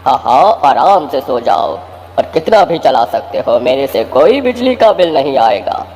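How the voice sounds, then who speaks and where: A man's voice: a little high, big pitch swings, unhurried, darkish tone, long reverb tail, a little hiss or hum. A man voicing a kindly, cheerful magical talking appliance in a children's cartoon, reassuring and eager to help. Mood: cheerful, boastful. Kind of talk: Hindi children's cartoon story voice acting.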